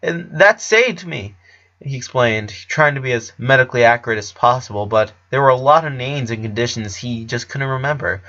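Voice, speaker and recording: A man's voice: medium pitch, brisk, hardly any pauses, pushed and bright. A man narrating a story expressively, on a slightly dull, lo-fi recording.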